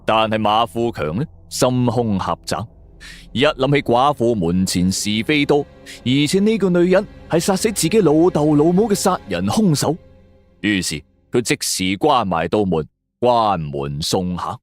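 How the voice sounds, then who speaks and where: A man's voice: mid-range voice, expressive, a little slow, soft background music. A man narrating a suspense story in a colloquial, dramatic storyteller style. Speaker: audiobook narrator.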